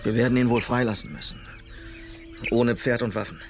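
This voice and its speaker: An actor playing an idealistic, polite lawman in a German radio drama, sober and measured. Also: mid-range voice, fairly even intonation, faint music behind, lightly acted. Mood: firm, reasoning. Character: idealistic, polite lawman travelling the Wild West.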